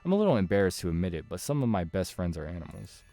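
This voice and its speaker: A man's voice: deep voice, very animated, racing, mellow. A man voicing an eager, kindly young girl who loves animals, in a visual novel read-aloud. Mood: sweet, confiding.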